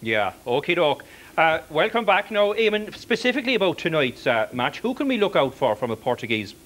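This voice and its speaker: A man's voice: a little high, very animated, fairly quick, a bit bright. A chirpy man hosting a spoof football panel. His voice swings up and down a lot as he fires questions at the pundits. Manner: chirpy, repeats "okie doke". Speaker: comedy studio host. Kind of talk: mock sports panel hosting.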